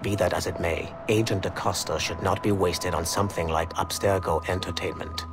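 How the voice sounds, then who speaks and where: An actor playing a proud, zealous Templar commander in a video game, speaking in a measured, formal and deliberate way. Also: male voice, low-pitched, steady tone, close to an everyday voice. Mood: firm objection. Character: stern, zealous male Templar field commander, calculating and proud. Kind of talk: scripted video game monologue and dialogue. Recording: loud music behind. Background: loud music.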